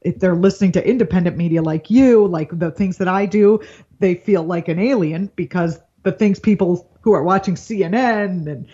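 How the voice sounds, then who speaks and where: A woman's voice: fairly low pitch, dark tone, slightly rough. A woman comedian and news anchor talking politics as a guest in an earnest, rambling way, laughing easily. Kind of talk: casual political chat. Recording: dull lo-fi remote line.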